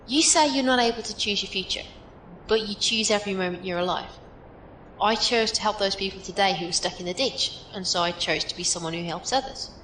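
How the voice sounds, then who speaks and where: A plainspoken female mentor played by an actress in an audio drama, talking frankly and encouragingly like a survivor who has thought life through. Her mid-pitched voice sits in a roomy echo with faint noise behind it. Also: brisk, a bit bright, close to an everyday voice. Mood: earnest, reassuring. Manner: matter-of-fact, advising.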